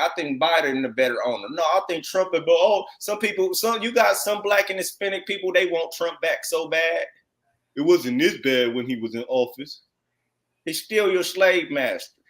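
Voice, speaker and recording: A man with an American accent and a fairly high-pitched voice teaches scripture informally. He speaks emphatically, circles back over his phrases and keeps checking in with tag questions as he exhorts his listeners. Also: a bit bright. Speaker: congregation teacher commenting on read text.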